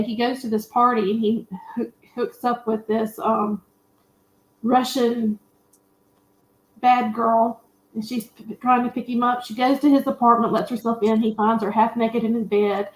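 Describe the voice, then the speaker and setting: A woman's voice: fairly high pitch, a little hiss or hum. A woman panelist giving a candid, opinionated and colloquial film recap in casual chat. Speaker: panelist on a movie discussion show. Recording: roomy, echoey sound.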